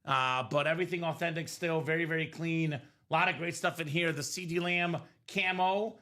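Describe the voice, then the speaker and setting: A man's voice: on the high side, fairly even intonation, moderate pace, bright, forceful tone. A man hosting a live sports card break, giving upbeat, salesy running commentary, his voice a little worn. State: voice a bit worn from singing. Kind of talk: live stream selling chat.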